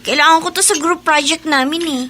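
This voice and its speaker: Demanding Filipino teen daughter, whiny radio drama role, very bright high voice. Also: female voice, brisk, a little hiss or hum. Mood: wheedling. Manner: whiny, petulant. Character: sulky, demanding fifteen-year-old schoolgirl daughter.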